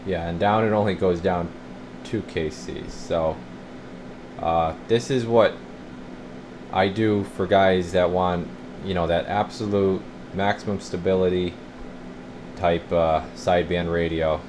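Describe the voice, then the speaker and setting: A man's voice: sits low, fairly even intonation, unhurried, pauses often, noisy background. A man giving a casual, rambling hands-on walkthrough as a radio technician, thinking aloud as he works.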